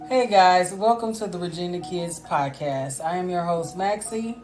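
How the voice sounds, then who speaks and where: A young adult woman hosting a casual sibling podcast, blunt and animated, cussing freely and laughing often. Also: lowish voice, moderate pace, soft background music. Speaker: podcast host.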